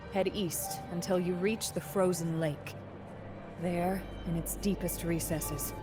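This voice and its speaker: A woman's voice: on the low side, close to an everyday voice. A woman voicing a loyal, steadfast barbarian tribeswoman in a fantasy game, earnest, direct and dignified. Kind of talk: fantasy video game acting. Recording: loud music under the voice.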